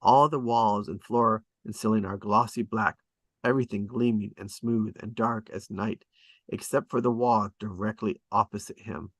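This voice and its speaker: A man narrating screenplay stage directions at a table read in a measured, descriptive way, with short dramatic phrases. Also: on the low side, fairly even intonation, fairly bright tone, dry recording.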